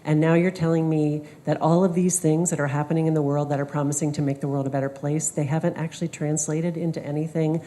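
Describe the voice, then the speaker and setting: A woman from the United States shares her story on a panel. Her voice is very low and mellow, and her delivery is measured and reflective. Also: flat delivery.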